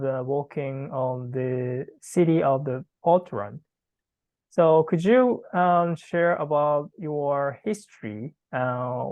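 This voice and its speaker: Interviewer talking really slow, lots of uhs, dry close sound. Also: mid-range voice, darkish tone, no echo. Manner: hesitant, many fillers. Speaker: interviewer, host. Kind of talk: interview questions.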